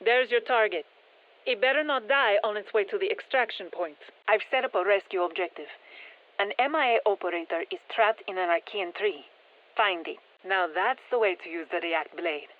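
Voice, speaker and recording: A woman playing a brisk, tech-minded science operative in a video game, giving matter-of-fact, wry mission instructions over a radio filter. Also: medium pitch, narrow, tinny audio. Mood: brisk, focused. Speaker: mission handler. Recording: thin band-limited radio comms sound.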